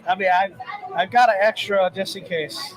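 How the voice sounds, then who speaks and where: A man's voice: sits high, normal speed, a crowd in the background. A middle-aged man from Samoa, raised in Hawaii, chatting casually and laughing as he cooks at an outdoor event. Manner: relaxed, chatty, laughs easily. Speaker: chef. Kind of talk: casual live cooking demo. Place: outdoor boat show.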